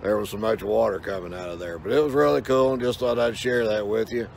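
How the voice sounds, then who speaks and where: Older man with a gravelly creak. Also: on the low side, fast talker. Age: older, about 60. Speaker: vlogger. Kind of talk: casual rambling vlog talk to camera. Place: outdoors, in a yard or by water. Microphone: phone at arm's length. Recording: outdoor ambient noise and hum.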